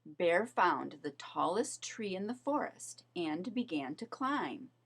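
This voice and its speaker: A woman reading a children's story aloud as narrator, in an expressive, measured storytelling manner. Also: lowish voice. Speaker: storybook reader.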